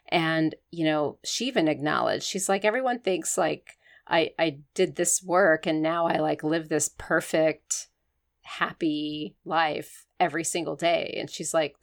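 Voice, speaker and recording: Woman, interview host, candid, chatty, low voice, gravelly. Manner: warm, candid, many fillers like "like". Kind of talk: conversational interview.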